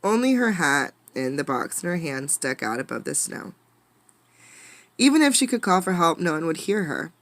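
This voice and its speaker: A woman reads a children's storybook aloud in a fairly low voice. Her delivery is dramatic and emphatic, like a teacher engaging listeners. The sound is dry, with very little room echo. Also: sing-song, moderate pace, a bit bright. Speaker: teacher reading a picture book aloud. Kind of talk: expressive storybook read-aloud with questions to listeners.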